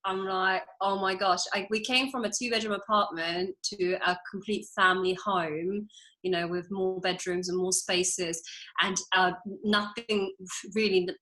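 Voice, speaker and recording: Bright-voiced chatty woman. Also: mid-range voice, fairly even intonation, low-quality recording. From France. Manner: chatty, laughs often, quick asides. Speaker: interior designer, guest. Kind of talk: casual interview storytelling.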